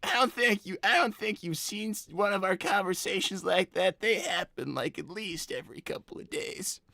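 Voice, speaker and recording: Someone voicing an exasperated, creaky young cartoon fox boy. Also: mid-range voice, normal speed, bright, forceful tone, no room sound. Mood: weary, apologetic. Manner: stammering, exasperated, argumentative. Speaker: host of a live character stream. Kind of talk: improvised in-character chat, reading donations.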